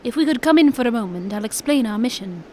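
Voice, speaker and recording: A shrewd gentleman detective played by a woman, pitched on the high side. The phrasing is brisk, genteel and old-fashioned, in amateur audio drama style, over a noisy recording. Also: animated, close to an everyday voice. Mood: genteel, persuasive.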